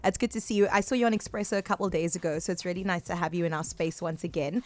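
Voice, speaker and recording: A woman's voice: lowish voice, fairly even intonation, rapid-fire, a little mellow. Enthusiastic South African radio co-host woman. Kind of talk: radio interview. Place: radio studio.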